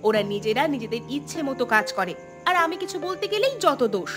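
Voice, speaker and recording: A woman's voice: high-pitched, very animated, quick pace. A woman playing an aggrieved young village wife in a cartoon, complaining and pleading in a plaintive way. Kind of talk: Bengali cartoon voice acting. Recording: loud music behind the voice.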